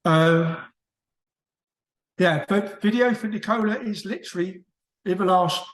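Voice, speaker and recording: A man's voice: high-pitched, measured pace, reverberant, low-quality recording. A man from the UK with a British accent, chatting casually in a book club discussion, rambling and often restarting his sentences. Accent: British English.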